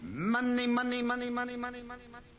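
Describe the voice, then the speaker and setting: Shrill, chanting casino croupier. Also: male voice, rapid-fire, a bit bright. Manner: sing-song, repetitive calling. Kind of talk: 1940s radio drama acting. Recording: muffled, distant old radio, crowd behind. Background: gambling room crowd.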